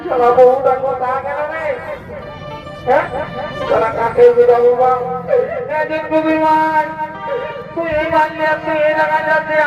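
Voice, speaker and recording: High-pitched, exclamatory comic village husband played by a man. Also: highs cut off. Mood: agitated. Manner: loud, exclamatory, repetitive calling out. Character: comic exasperated village husband searching for his wife. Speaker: comic folk stage performer. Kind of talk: comedy Chhau dance stage dialogue in rural dialect. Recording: echoey loudspeaker from far off, crowd, music.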